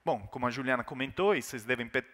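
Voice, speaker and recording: A man's voice: mid-range voice, fast, a bit bright. A man with an Italian accent, whose first language is Italian, giving an emphatic business keynote with rhetorical questions. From Italy. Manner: emphatic, rising and falling delivery with rhetorical questions. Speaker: keynote speaker. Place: large conference hall. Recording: reverberant hall.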